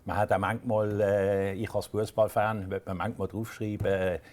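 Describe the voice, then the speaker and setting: A man's voice: fairly low pitch, flat delivery, moderate pace, darkish tone. A man with a Swiss German accent, a traffic control operator casually explaining his work to a presenter. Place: traffic control centre indoors.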